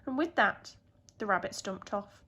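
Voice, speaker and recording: British woman, children's storyteller, teacherly, expressive, very lively intonation, bright tone. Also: mid-range voice, unhurried, slight background noise. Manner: expressive, addressing young children. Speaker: primary school teacher reading a story.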